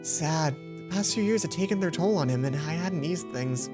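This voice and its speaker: A woman with a slightly low voice reads a visual novel aloud as a let's play host. She now and then trips over a line and takes it again. Music plays clearly behind her. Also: lively intonation, moderate pace. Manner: stumbles over lines and rereads them.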